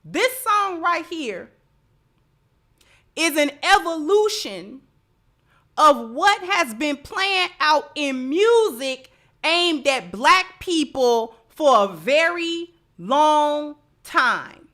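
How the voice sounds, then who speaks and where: A woman's voice: high-pitched, sing-song, extremely slow, pushed and bright. A woman hosting livestream social commentary, speaking emphatically and often repeating phrases to drive a point home.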